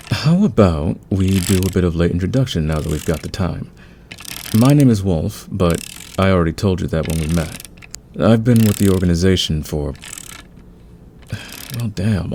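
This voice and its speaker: A calm veteran thief acted by a man with a very low, creaky voice. He is patient and friendly, smoothing things over conversationally in an audio roleplay, with some noise behind him. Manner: soothing, patient, chuckling, conversational. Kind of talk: ASMR audio roleplay acting.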